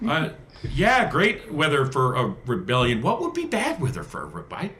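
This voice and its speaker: A man's voice: medium pitch, wildly swooping pitch, normal speed, sharp tone, faint music behind. A man playing a tabletop game in casual, joking chat.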